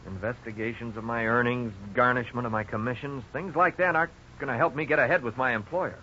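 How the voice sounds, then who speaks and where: An actor as a bright-voiced, worried young husband. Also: male voice, mid-range voice, fast, close to an everyday voice. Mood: worried, frustrated. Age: 20s. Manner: earnest, exclamatory, hesitant when troubled. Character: worried young debtor and husband, twenty-six, anxious but earnest. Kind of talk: 1950s radio drama acting. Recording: dull, noisy 1950s radio recording.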